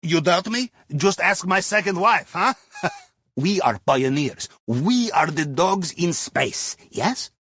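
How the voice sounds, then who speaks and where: A man's voice: sits high, lively intonation, takes it slowly, fairly bright tone, slightly rough, dry recording, clean studio sound, exaggerated voice. A man playing a jovial, wry Russian submarine captain in a video game, with a Russian accent and a playful, theatrical way of guiding his crew. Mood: jovial, teasing. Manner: playful, theatrical, drops articles, tags sentences with questioning "yes?" or "huh?". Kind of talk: video game mission dialogue over comms.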